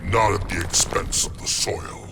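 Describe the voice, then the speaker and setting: An actor playing an ancient, solemn plant monster guardian of nature in a video game, with a growling, gravelly voice and a formal, ponderous manner. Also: male voice, sits low, fairly even intonation, a little slow, heavy background noise. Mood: stern warning. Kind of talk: video game fight intro dialogue. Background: music.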